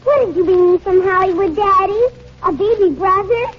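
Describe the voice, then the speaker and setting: A woman's voice: extremely high pitch, moderate pace. A woman playing a sweet, innocent little girl with a small childlike voice in a 1940s radio drama. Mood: innocent, coaxing. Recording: muffled noisy 1940s radio recording.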